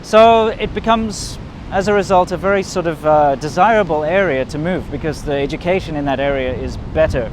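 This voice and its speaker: A man's voice: fairly quick, few pauses. A man hosting a casual vlog, talking to the camera outdoors. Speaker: video host. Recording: outdoor rumble and wind noise.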